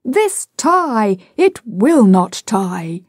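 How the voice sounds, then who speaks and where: A woman's voice: fairly high pitch, unhurried, dark tone, no echo, clean studio sound, close to an everyday voice. A woman voicing a blustering, pompous Edwardian father who rants sarcastically in exasperation, in a storybook reading. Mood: exasperated.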